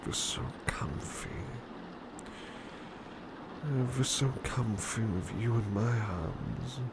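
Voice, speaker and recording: A man acts out a doting butler murmuring comfort at bedtime in an ASMR roleplay. His low voice is hushed and halting, sometimes whispered, and it rises and dips freely in pitch. Clear ambient noise sits behind him. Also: expressive. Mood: drowsy, soothing. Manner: hushed, sleepy, halting, often slipping into whispers. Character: devoted, tender male butler comforting a beloved at bedtime.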